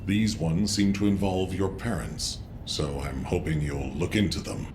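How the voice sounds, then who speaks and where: A man playing a calm, authoritative military commander in a video game cutscene, measured and formal but quietly caring. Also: deep voice, background noise. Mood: concerned, measured.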